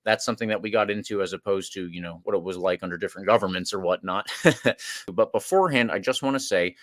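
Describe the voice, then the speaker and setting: Lowish-voiced guy hosting a sports podcast, dry sound, casual and chatty. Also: fast talker, fairly bright tone, dry recording. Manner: casual, promotes charity shirt before interview. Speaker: podcast host. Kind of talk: podcast interview.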